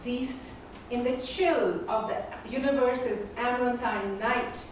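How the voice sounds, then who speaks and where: A woman whose first language is Urdu, reading her poems slowly and repeating each first line at a live poetry event. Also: on the high side, a little hiss or hum. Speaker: featured poet. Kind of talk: poetry reading with spoken introductions. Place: performing arts venue. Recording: echoey hall from far off.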